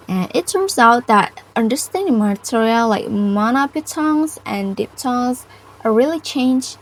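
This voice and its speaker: A young woman with an Indonesian accent giving a prepared personal reflection to camera in halting, careful non-native English. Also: medium pitch, rough recording. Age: young adult. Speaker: university student.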